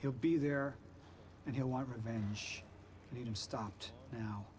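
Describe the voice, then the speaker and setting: An actor playing a middle-aged corporate villain in a screen drama, terse, controlled and commanding. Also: male voice, medium pitch, slow, background noise, natural voice. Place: large industrial warehouse.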